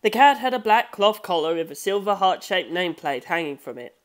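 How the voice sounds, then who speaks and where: A woman reading a scripted story aloud as an audiobook narrator. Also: lowish voice. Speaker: audiobook reader.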